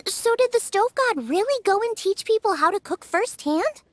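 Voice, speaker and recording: A woman's voice: extremely high pitch, animated, normal speed. A woman voicing an enthusiastic, friendly teenage girl chef in a video game, youthful, girlish and eager. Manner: perky, eager.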